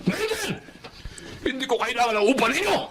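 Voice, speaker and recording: An actor with a Filipino accent playing a furious, spiteful landlord in a radio drama, harsh and bullying. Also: male voice, very high voice, very animated, a little fast, a bit bright, exaggerated voice. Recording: noisy radio drama recording, clicks.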